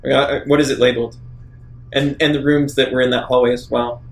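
A man's voice: medium pitch, level tone, a little fast, creaky. A man chatting casually as a player in a tabletop roleplaying game, asking questions and proposing actions.

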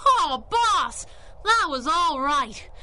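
A woman's voice: high voice, big pitch swings, extremely slow, bright, forceful tone, soft background music, heavily acted. An actress playing a sassy, loyal young female sidekick in a 1930s-style radio drama, wry and wisecracking. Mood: teasing.

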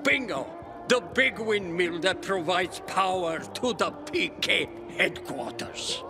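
An actor playing a proud, absent-minded old inventor in a video game, theatrical and often groping for words. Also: male voice, high voice, very animated, takes it slowly, over music, full character voice. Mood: eager, scatterbrained. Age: older. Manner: hesitant searching for words, theatrical outbursts. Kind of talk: video game character acting.

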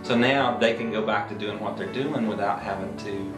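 A man in his fifties gives an explanatory interview as an eye care practitioner. His voice is fairly low and echoes in the room, with guitar music behind it. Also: moderate pace. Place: eye exam room indoors. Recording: roomy, music underneath.

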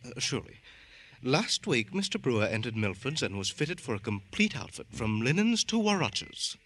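A prissy, snobbish shop clerk from an old radio crime play. His bright voice swoops up and down, nervous and hesitant, on a faintly hissy recording. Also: mid-range voice, sing-song, moderate pace. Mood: nervous, obliging. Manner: prissy, hesitant, over-refined. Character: fussy, snobbish, nervous male clothing-store clerk. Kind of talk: old-time radio crime drama acting.

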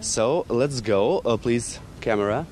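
A young male street reporter with a Kosovo Albanian accent and a medium-pitched voice. He speaks halting, broken English into a handheld mic outdoors, often repeating himself. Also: expressive, crowd noise. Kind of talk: street interview in simple English. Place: open city pedestrian street. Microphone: handheld reporter microphone.

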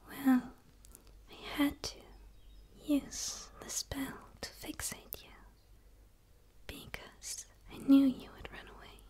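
A woman's voice: sits high, little pitch movement. Whispering, curious alien played by a woman. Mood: curious, gentle. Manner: soft, slow, soothing, breathy delivery. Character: curious, eager female dark alien studying a human. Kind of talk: whispered ASMR roleplay. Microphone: close microphone, speaking straight to camera.

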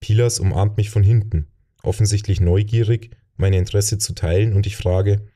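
Dark, low-voiced male narrator. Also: steady tone, normal speed. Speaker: audiobook narrator. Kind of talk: scripted fantasy novel narration, first person.

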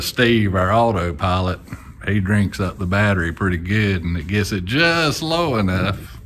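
A very low-voiced older man in his sixties with a drawl talks to a close-held camera in a big metal garage. His delivery is folksy and rambling, full of "uh" and restarts, with music playing behind him. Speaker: sailing vlogger. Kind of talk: casual vlog to camera. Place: large metal workshop garage, indoors.

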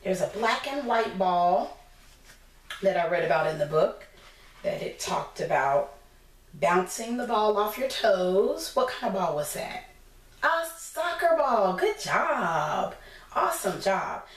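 Slow, lively American woman teacher, roomy recording. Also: mid-range voice. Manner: encouraging, instructional, asking simple questions. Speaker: preschool teacher. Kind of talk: children's picture-book read-aloud with questions to young listeners. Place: small indoor room against a plain decorated wall. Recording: roomy echo, distant mic, clear background noise.

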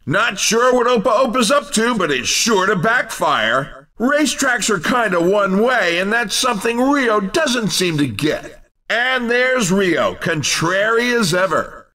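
An actor playing an excitable, cheeky race commentator in a video game, with a wry, showy sportscaster delivery. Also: male voice, sits high, far from the mic, exaggerated voice. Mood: amused, excited.

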